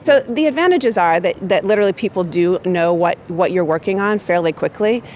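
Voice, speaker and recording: A loud-voiced American woman talks freely about her workplace in an interview. She circles back and restarts her thoughts, filling gaps with "you know." Faint street noise sits in the background. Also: fairly low pitch, animated, brisk. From United States. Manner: chatty, many fillers and restarts. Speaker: corporate marketing manager. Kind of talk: unscripted interview answer.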